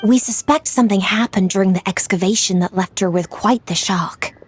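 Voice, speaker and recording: A woman playing a composed, businesslike investigator in a video game, measured and matter-of-fact. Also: mid-range voice, close to an everyday voice. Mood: composed, explanatory. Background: game music.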